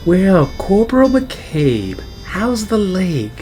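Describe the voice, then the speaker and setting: A man's voice: high voice, huge pitch swings, slow, warm tone, faint music behind, heavily acted. A man playing a genial army captain in a noir radio play, familiar and matter-of-fact like an old buddy. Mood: friendly.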